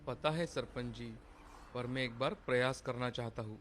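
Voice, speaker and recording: A man voicing a humble, poor village father turned food seller, polite and deferential, in an animated moral story. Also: mid-range voice, normal speed, faint music behind, close to an everyday voice. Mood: resolved, humble.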